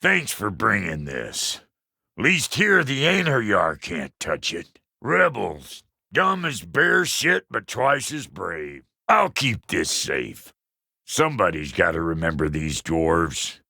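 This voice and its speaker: A man voicing a gruff, foul-mouthed but good-hearted dwarf blacksmith in a video game, with a rough Southern American accent and a blunt, folksy manner. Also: low voice, huge pitch swings, slow, bright, forceful tone, no echo, clean studio sound, full character voice. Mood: gruffly sincere. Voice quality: gravelly, rough-edged. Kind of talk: video game character acting.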